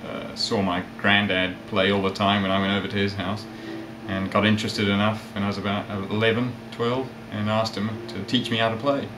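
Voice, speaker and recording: Low, even-voiced man with a mixed accent. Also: brisk, hardly any pauses. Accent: mixed South African, English and Australian. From South Africa, with years in England and Australia. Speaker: interview guest, ukulele player and magazine editor. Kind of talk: casual interview chat. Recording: roomy echo, steady background noise.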